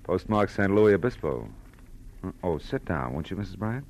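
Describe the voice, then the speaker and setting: An actor playing a tough but compassionate private detective, terse and world-weary, in 1940s radio noir. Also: male voice, low-pitched, big pitch swings, normal speed, background noise. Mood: probing, calm. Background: dramatic music.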